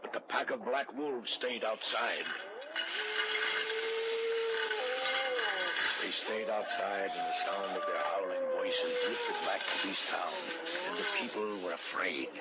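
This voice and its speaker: Low, grave storyteller, muffled noisy radio. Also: male voice. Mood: ominous. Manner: solemn, ominous, deliberate, formal old-fashioned phrasing. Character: Marek, grave male village storyteller recounting a dark legend. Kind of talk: 1940s-50s radio suspense drama narration.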